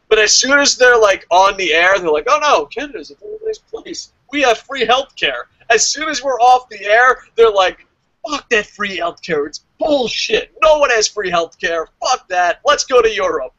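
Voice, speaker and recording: A man's voice: high voice, dry recording, muffled recording. A man hosting a casual wrestling review show, joking and swearing freely as he reads out listener comments. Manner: profane, joking, animated.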